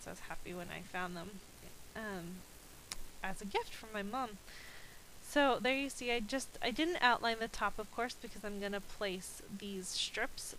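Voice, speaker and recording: A woman's voice: medium pitch, a bit bright, a little hiss or hum, low-quality recording. A woman with a slightly stuffy, nasal voice from a cold, chatting casually with frequent ums as she narrates a voice-over about her crafting. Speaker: scrapbooking video creator.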